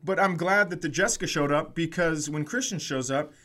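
A man's voice: on the high side, fairly quick, bright, forceful tone. A middle-aged American man co-hosting a comedy podcast, telling casual comic stories and acting out what people said.

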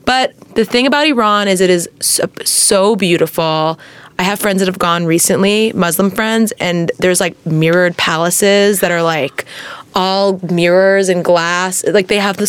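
A woman's voice: fairly low pitch, normal speed, smooth, dry recording. A woman co-hosting a podcast in casual chat, gushing and swearing freely. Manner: chatty, swears freely, gushes with enthusiasm. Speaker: podcast co-host.

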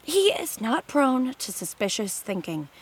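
An actress playing a young noble wife in a radio play, earnest and pleading. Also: female voice, fairly high pitch, very animated, unhurried, breathy edge, full character voice. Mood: fond.

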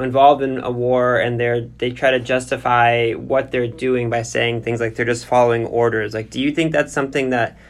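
Male interviewer, conversational, mid-pitched. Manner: long, tentative, hedged questions.

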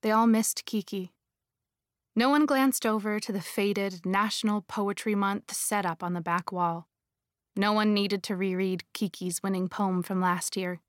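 A woman's voice: medium pitch, no echo, clean studio sound. A young-sounding woman reading scripted dramatic audiobook narration with a driven delivery. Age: young adult. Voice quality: youthful-sounding.

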